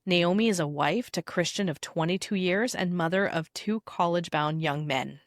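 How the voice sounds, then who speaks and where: Female podcast interviewer, slightly low voice, presenting and chatting, very dry studio-like sound. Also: normal speed, dry recording. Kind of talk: scripted intro and conversational interviewing.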